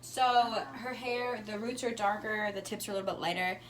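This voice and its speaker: 20s woman casual vlog chat, normal pitch, noisy recording. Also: brisk, a bit bright, reverberant. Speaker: vlog co-host. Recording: clear background noise.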